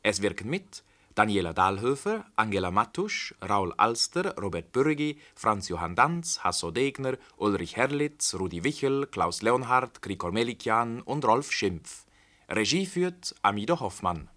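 Male announcer, lowish pitch, very varied intonation, scripted radio drama credits. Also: very animated, measured pace, bright, forceful tone.

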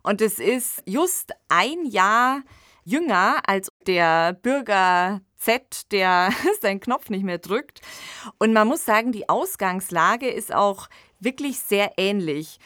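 A woman presents a podcast in a conversational way. She takes her time, in a mid-pitched voice recorded very dry in a studio. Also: lively intonation, slow pace, a bit bright, no room sound. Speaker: podcast host. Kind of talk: conversational radio drama introduction.

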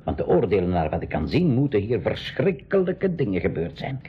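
An actor playing a kindly older uncle and professor in a school radio drama, with a hesitant, muttering way of talking. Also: male voice, fairly low pitch, wildly swooping pitch, brisk. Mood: grave, cautious. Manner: hesitant, mutters repeated words. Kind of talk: 1980s Dutch-language school radio drama acting. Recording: dull band-limited 1980s radio recording.

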